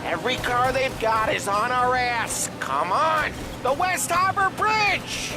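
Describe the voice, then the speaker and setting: An actor playing an excitable, foul-mouthed, wounded American gangster sidekick in a video game, jumpy and coarse. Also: male voice, very high voice, slow pace, music in the background, heavily acted. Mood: panicky. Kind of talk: cinematic game voice acting.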